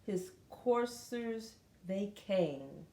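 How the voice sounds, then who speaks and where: A woman reading a children's story aloud in an expressive, deliberate way, with dramatic phrasing. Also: mid-range voice, gravelly. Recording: roomy, echoing room sound.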